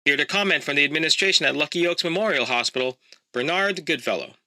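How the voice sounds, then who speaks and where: A man voices a polished TV news anchor in an audio drama, reading reports in a crisp broadcast manner. The voice sits somewhat high and very bright, recorded dry. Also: fast, no room sound.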